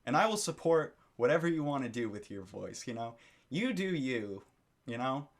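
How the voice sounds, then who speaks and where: A man's voice: medium pitch, sing-song, moderate pace. A man giving a critical commentary monologue for his video channel, lecturing and disapproving, often repeating his phrases. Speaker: YouTube commentator.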